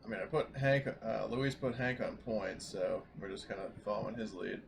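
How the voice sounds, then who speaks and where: A man's voice: fairly low pitch, steady tone, moderate pace, gravelly, noisy background. A man talking casually as a player in a tabletop roleplaying game.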